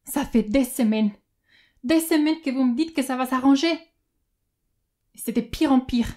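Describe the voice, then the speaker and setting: A woman's voice: high voice, unhurried, warm tone. A woman playing a frightened, agitated, pleading woman desperate to flee, in a French audio drama. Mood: frantic, exasperated.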